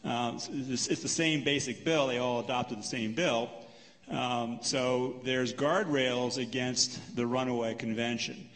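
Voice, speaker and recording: Guy moderating a q&a in a big hall, calm and methodical, lots of reverb. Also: mid-range voice. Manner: measured, explanatory, counts points off. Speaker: panel moderator. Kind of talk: public lecture Q&A, paraphrasing and answering. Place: lecture hall.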